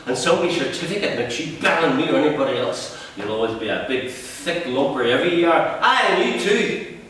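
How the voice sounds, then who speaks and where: A man's voice: on the high side, lively intonation, a little fast, fairly bright tone. A man playing a bitter, bullying father with harsh barks, heard from a distance in a very echoey room. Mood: raging. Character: bitter, raging working-class father. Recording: very echoey room, distant mic, faint hum.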